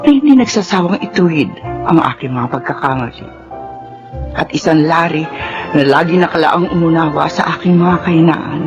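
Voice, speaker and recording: A woman with a Filipino accent playing a remorseful, earnest mother in a sentimental radio drama monologue. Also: low voice. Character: remorseful Filipino mother reflecting on her mistakes. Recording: dull radio broadcast over loud music.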